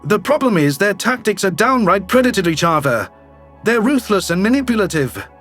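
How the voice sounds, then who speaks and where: Man playing a high-voiced, guarded alien governor. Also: sing-song, fairly bright tone, soft background music, exaggerated voice. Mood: guarded, insistent. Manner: formal, measured, curt. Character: shifty, self-centered male alien governor, guarded and businesslike. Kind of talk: science fiction audio drama acting.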